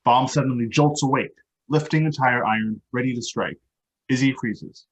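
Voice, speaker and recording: Male narrator for a table read, medium pitch, delivering scripted stage directions. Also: normal speed, low-quality recording.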